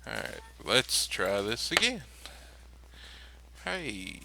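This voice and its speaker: A man's voice: on the low side, extremely slow, gravelly, no room sound. A man playing a tabletop game, chatting casually and joking with the others, recorded with some noise and clicking. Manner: jokey, animated banter.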